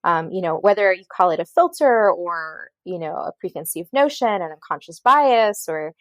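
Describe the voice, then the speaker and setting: A woman's voice: fairly low pitch, lively intonation, normal speed, no room sound, clean studio sound. A woman in her thirties from Colombia with an American accent, a podcast guest telling her story in a rambling way with many fillers and restarts. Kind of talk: casual storytelling interview.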